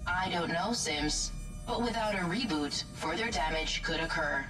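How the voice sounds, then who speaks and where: A woman voicing a spaceship's artificial intelligence in a sci-fi game, formal, measured and detached. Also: lowish voice, distant mic, music in the background, close to an everyday voice. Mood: calm, detached. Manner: formal, measured, clipped announcements. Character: calm female ship's computer AI, formal and detached. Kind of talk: video game voice acting, sci-fi horror.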